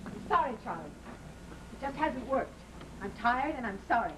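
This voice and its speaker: Radio drama wife: weary, scornful, slightly high voice, old noisy recording. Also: female voice, big pitch swings, unhurried. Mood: weary, resigned. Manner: theatrical, accusing, scornful. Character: weary, bitter, discontented wife of a poor bookseller.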